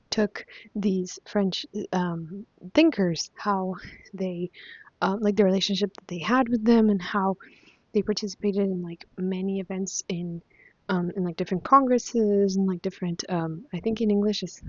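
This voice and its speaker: A woman's voice: medium pitch, darkish tone, no echo. Young woman, spanish is her first language, rambly book chat full of ums and likes, dry dull-sounding mic. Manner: chatty, lots of fillers and restarts. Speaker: vlogger. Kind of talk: casual book review chat.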